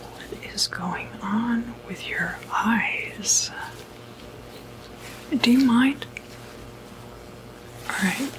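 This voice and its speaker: A woman playing a calm, quietly puzzled doctor in an ASMR medical roleplay, whispering breathily and hesitantly. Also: fairly high pitch, low-quality recording. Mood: uneasy, concerned. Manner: hesitant, halting, murmured asides. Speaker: ASMR performer.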